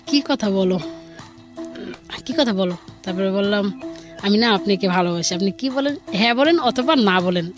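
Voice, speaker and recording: A woman guest telling a personal story informally, rambling and quoting dialogue as she goes. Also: mid-range voice. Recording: music bed under the voice. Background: background music.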